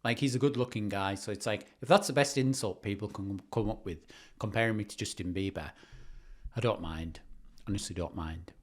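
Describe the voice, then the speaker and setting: Low-pitched British man, self-mocking. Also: fairly quick. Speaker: YouTuber. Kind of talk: casual reaction commentary on old videos.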